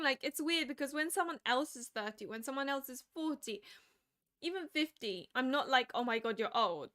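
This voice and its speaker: Bright high-voiced woman streamer. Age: 20s. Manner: chatty, laughs often, animated. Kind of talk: casual chat.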